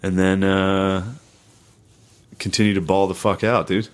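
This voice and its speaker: A man's voice: deep voice, flat delivery, measured pace. A man co-hosting a comedy podcast, talking casually in blunt, profane, slangy banter. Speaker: podcast co-host.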